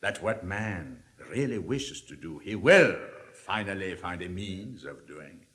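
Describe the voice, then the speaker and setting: Suave cynical libertine, lively swinging intonation, declamatory 1950s stage drama, long hall reverb. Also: male voice, sits low, heavily acted. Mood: earnest, rhetorical. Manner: rhetorical, deliberate, declamatory. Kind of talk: 1950s theatrical dramatic reading.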